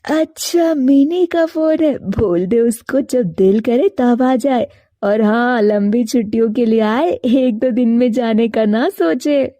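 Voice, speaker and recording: A woman plays an older mother pigeon in an animated moral tale. She is doting and meddling, drawing out her words slowly. The voice is soft-edged and very dry. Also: fairly high pitch, no room sound. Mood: fond, curious. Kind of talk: Hindi animated moral story character.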